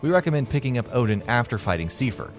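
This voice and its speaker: A man's voice: medium pitch, big pitch swings, fast talker, over music. A man reading scripted narration for a video game list.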